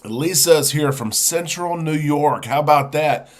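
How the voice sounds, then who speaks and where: A man's voice: a little high, measured pace. Congested man hosting a live show. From United States. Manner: friendly, chatty, sniffling. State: congested with a chest cold. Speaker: host. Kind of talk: live-stream casual chat, greeting viewers.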